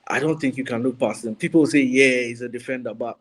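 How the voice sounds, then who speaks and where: A man's voice: medium pitch, fairly even intonation, fast talker. A man giving his views as a panelist on a football podcast, in conversational punditry. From Ghana.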